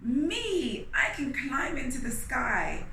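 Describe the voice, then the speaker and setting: A woman's voice: high voice, big pitch swings, slow pace, pushed and bright, far from the mic, voice pushed up, heavily acted. A woman voicing eager, boastful animals in a children's storybook, exclaiming in an echoing room. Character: eager, boastful animals volunteering: eagle, monkey, elephant. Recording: roomy echo, faint background noise.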